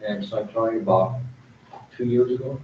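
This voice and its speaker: Low-voiced man far from the mic. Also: normal speed. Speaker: participant. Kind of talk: self-introduction. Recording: distant, very echoey, dull, noisy.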